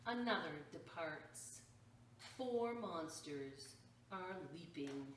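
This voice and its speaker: A woman reading a children's picture book aloud as a storytime reader, expressive and exclaiming, pausing to point out the pictures to children. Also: on the low side. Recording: roomy echo, distant mic, faint noise.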